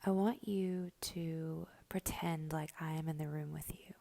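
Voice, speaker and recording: A woman guiding a meditation in a soft, breathy voice that is often close to a whisper, giving gentle, slow instructions with long pauses. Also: low voice, fairly even intonation. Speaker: guided meditation host. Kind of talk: slow guided meditation narration.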